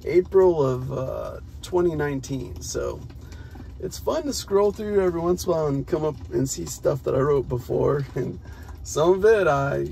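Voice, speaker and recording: A man's voice: fairly high pitch, normal speed, vocal fry, dark tone. An American man chatting casually to viewers in an upbeat, rambling way, as a solo vlogger. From United States. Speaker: spoken word poet and vlogger. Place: parked car, talking alone.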